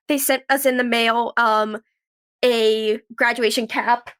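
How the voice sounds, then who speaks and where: A woman in her twenties chatting casually as an interview guest, telling stories in an animated way with quoted asides. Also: a little high, takes it slowly, smooth. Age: 20s. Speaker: podcast guest, actress and writer.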